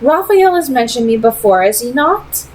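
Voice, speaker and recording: A woman's voice: on the high side, very animated, darkish tone, a little hiss or hum, pitched above the performer's own voice. A woman voicing a proud, secretive female vampire who leads a clan and speaks formally and persuasively in a read-aloud story. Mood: coolly persuasive. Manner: formal, deliberate, dramatic phrasing.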